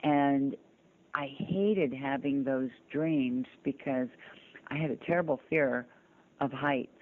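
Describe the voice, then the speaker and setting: A woman's voice: extremely low pitch, slow. Older woman on a narrow phone line. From southern United States (Georgia, North Carolina). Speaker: interview guest. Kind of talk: personal storytelling in conversation. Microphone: telephone.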